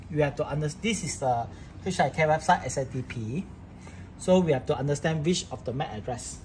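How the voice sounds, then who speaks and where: A man's voice: a little high, a little mellow, slight background noise, low-quality recording. A man giving an informal hands-on tech tutorial, talking through each step as he works. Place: indoor office workbench with electronic devices.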